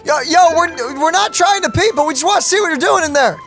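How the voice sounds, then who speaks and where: A woman gaming streamer chatting casually in a playful, excitable, slangy way. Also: very high-pitched, fairly quick. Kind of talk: casual let's play chat. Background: game music.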